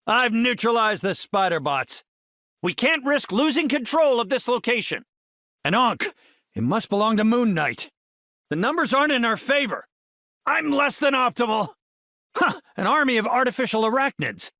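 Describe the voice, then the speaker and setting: An actor voicing a brainy, earnest middle-aged superhero scientist for a video game, articulate and formal, with scientific vocabulary. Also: male voice, very high voice, big pitch swings, moderate pace, sharp tone, no room sound, studio quality, exaggerated voice. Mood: urgent, focused.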